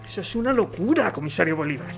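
A man's voice: sits high, very animated, fast, gravelly, soft background music, heavily acted. A man playing a worried civil governor in an amateur radio drama. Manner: worried, questioning. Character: anxious civil governor of a besieged city.

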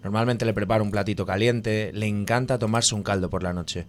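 A man's voice: fairly low pitch, fairly even intonation, a little fast, lightly acted. A man playing an anxious, lonely house husband in an audio drama, chatty and self-pitying. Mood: wistful.

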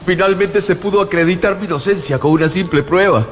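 A man's voice: high-pitched, brisk, slight background noise, natural voice. An actor playing an anguished, haunted man who recounts his ordeal in a theatrical, fearful radio drama narration. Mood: grave, dread. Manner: theatrical, fearful, repeats words for emphasis. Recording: studio radio drama with music bed.

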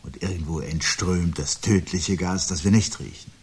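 An actor playing a weary, sardonic husband in a 1970s German radio drama, speaking deliberately and rhetorically. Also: male voice, sits low, a little mellow, breathy edge, heavily acted. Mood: weary resignation. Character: weary, bitter, sardonic husband awaiting catastrophe.

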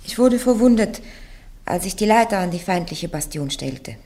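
A woman's voice: lowish voice, expressive, normal speed, warm tone. An actress playing a young peasant girl soldier on trial, answering bluntly and defiantly in a classic radio play. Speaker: accused on trial.

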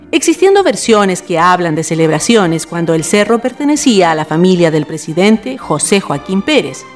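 A woman with a Chilean accent giving scripted narration for a radio drama. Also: medium pitch.

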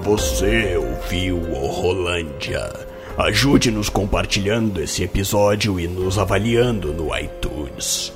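A man's voice: deep voice, expressive, takes it slowly, over loud music. A man delivering a scripted, theatrical promotional outro as a podcast announcer.

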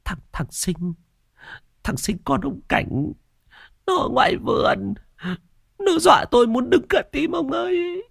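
A man's voice: sits high, big pitch swings, normal speed, long pauses, no room sound, clean studio sound, voice pushed up, heavily acted. A man voicing a terrified older village woman who stammers and insists on what she saw.

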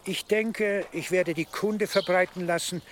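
A man playing a shrewd, authoritative archbishop who speaks in a measured way in a radio drama. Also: sits high, fairly even intonation, slight background noise. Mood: dry, decided. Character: lordly, shrewd male archbishop granting favours. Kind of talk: German radio drama acting.

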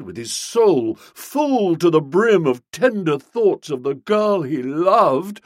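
Man playing a flustered posh English chap, swooping pitch. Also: husky, dry recording, studio quality. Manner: pompous, wordy, upper-class slang. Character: flustered, self-justifying young English gentleman writer, verbose and affectionate.

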